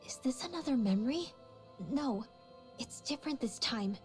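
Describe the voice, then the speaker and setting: An inquisitive fantasy heroine with a slightly high voice over soft background music. She is attentive and earnest, as in a dramatic video game scene. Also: animated, normal speed. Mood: curious, wondering.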